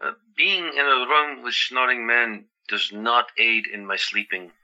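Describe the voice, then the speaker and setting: An actor playing a gentle, affectionate young wounded soldier in an audio drama. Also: male voice, medium pitch, fairly even intonation, unhurried, dull audio. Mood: wry, hesitant.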